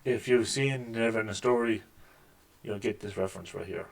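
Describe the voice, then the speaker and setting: A man with a deep voice hosting a casual let's-play, making wry, joking remarks over the gameplay at a desk microphone. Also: steady tone, normal speed, creaky. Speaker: gaming channel host. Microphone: desk microphone with mixer.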